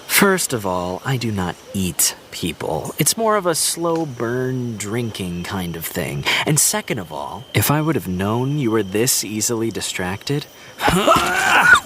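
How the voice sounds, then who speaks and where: A cocky vampire man, trading sardonic quips in an audio drama. He takes his time and swings freely in pitch, teasing as he goes. There is clear ambient noise behind him. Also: wildly swooping pitch, slow, bright, forceful tone, exaggerated voice. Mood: smug, taunting. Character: cocky wisecracking male vampire, undead and sardonic.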